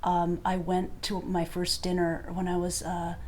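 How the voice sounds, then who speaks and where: A woman speaking reflectively in an interview, pausing often with hesitations. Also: on the low side, completely flat delivery, darkish tone, a little hiss or hum. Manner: thoughtful, many hesitations.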